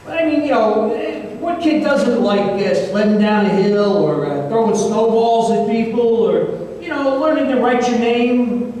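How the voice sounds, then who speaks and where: Man acting a rambling, high-pitched private eye, echoey. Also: measured pace, dark tone. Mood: wry, reminiscing. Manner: hesitant, rambling, filler-heavy storytelling. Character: jaded, wry retired small-town private investigator telling his tale. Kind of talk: old time radio detective drama acting. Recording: echoey hall, distant mic, faint noise.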